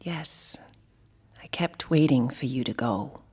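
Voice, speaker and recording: An actress playing a shy, earnest, devout singer in an intimate audio drama, speaking in a hesitant, soft-spoken, sincere way. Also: female voice, very deep voice, takes it slowly, husky, full character voice. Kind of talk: intimate two-person audio drama acting.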